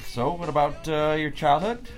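A man's voice: fairly high pitch, moderate pace, soft background music, lightly acted. A man at a script table read, playing a dry, self-deprecating hipster lawyer. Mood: dry, hesitant. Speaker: actor reading several male roles.

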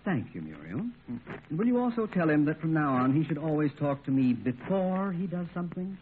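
An actor playing a smug, unflappable lawyer and family friend in a 1950s radio comedy, wry and teasing. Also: male voice, fairly high pitch, very animated, a little fast. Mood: composed, needling. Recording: tinny, noisy 1950s radio recording.

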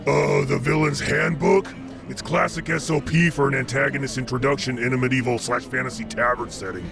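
An actor playing a bossy, irritable demon gang boss in a comedic fantasy, blustering and impatient. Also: male voice, mid-range voice, fairly even intonation, brisk, creaky, natural voice. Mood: scheming. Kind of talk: comedic fantasy villain acting. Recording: music behind the voice.

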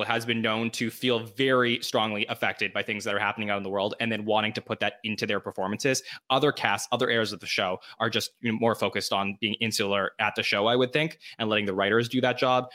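Brief: male roundtable host, rapid and bright, low-ish voice. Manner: quick, talks in long run-on sentences. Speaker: podcast host. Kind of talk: casual roundtable chat.

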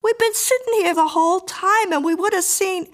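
Female reader as streetwise young woman, raised pitch, lively swings, blunt, slangy, familiar, storybook. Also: unhurried. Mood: scornful. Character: brassy, streetwise young woman, bossy but consoling. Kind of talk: audiobook character acting.

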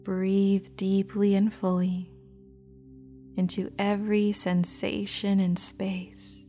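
Female meditation guide, slow, mellow, half-whispered, even delivery over faint music. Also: medium pitch.

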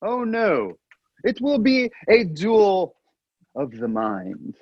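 A man's voice: high-pitched, huge pitch swings, slow pace, slightly rough, no room sound, highs cut off, heavily acted. A man voicing Randolph, a pompous, indignant young royal, with a put-on Polish accent and a fussy, grandiose manner.

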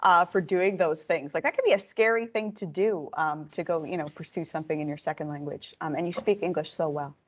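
A woman's voice: sits low, brisk, hardly any pauses, breathy edge, highs cut off. A woman hosting an interview podcast, encouraging and upbeat with frequent fillers. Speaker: podcast host.

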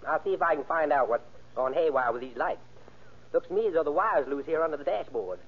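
Slangy, bossy small-time crook. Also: male voice, on the high side, lively intonation, fast, breathy edge. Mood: businesslike. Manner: slangy, clipped, commanding. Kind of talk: 1940s radio acting. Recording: narrow-band old radio recording, faint noise.

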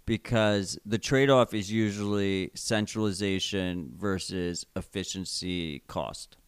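Smooth-voiced New York man, rambling. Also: sits low, slow pace, no echo. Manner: rambling, restarts and hedges, blunt. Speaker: podcast co-host. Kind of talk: casual chat.

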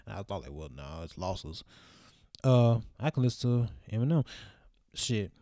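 Mellow-voiced young man musing casually. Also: fairly low pitch, sing-song, normal speed, no echo, clean studio sound. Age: 20s. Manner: loose, reflective, lots of fillers. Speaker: vlogger. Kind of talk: casual rambling monologue.

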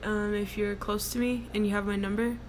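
A young woman with a deep voice hosting her own casual solo podcast from her workplace, rambling with many ums and likes in a relaxed way. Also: brisk. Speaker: podcast host. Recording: noticeable background noise.

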